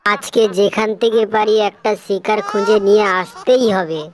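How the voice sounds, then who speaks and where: An eager, plotting predator hunting people to eat, voiced by a woman in a cartoon tale. The voice sits at a medium female pitch. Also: moderate pace, slight background noise, natural voice. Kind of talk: cartoon story dialogue.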